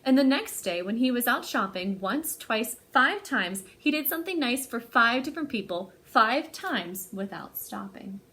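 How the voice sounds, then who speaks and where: A woman with a fairly high voice hosts a storytime for young children. Her delivery is highly expressive and melodic, rising and falling widely as she talks to her small listeners and reads to them. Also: moderate pace, few pauses. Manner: animated, addresses young children directly. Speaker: library storytime host.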